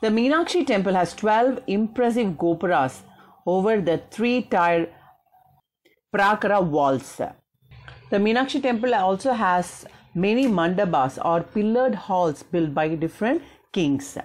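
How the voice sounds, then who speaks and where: A woman's voice: mid-range voice, lively intonation, unhurried, darkish tone. A woman with a South Indian accent giving an explanatory school history lesson, partly read out, repeating and rephrasing key points in a didactic way.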